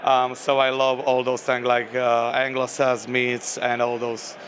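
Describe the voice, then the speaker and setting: A man from Slovakia with a Slovak accent, a game designer speaking in a convention interview, halting with many fillers and restarts. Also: mid-range voice, monotone, fairly bright tone, a little hiss or hum.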